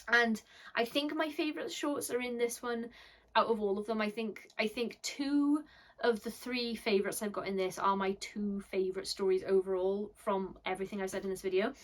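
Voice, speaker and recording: A woman's voice: medium pitch, moderate pace. A woman from Wales giving a casual, rambling book review with lots of fillers and a bashful, self-deprecating manner. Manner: chatty, rambling, many fillers.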